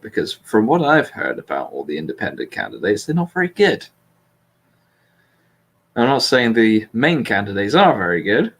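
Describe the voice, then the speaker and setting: Blunt British man chatting casually. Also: on the low side. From Britain. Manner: blunt, sweary, self-deprecating. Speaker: host. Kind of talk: casual political chat.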